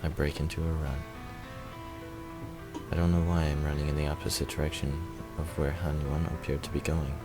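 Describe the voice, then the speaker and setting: A man with a very low, gravelly voice reads a visual novel's narration aloud. His delivery is subdued and fairly flat, measured out with pauses, over clearly audible music. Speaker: let's player reading a visual novel.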